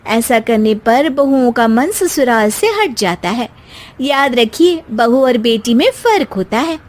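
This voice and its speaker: A woman as a high-voiced young daughter-in-law. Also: animated, a little slow. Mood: advising. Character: young daughter-in-law, pert yet caring. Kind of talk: dramatized moral story dialogue.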